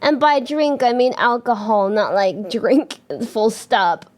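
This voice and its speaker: A young woman streamer chatting casually to her viewers, rambling with fillers and restarts and bursts of bubbly excitement. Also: a little high, slow.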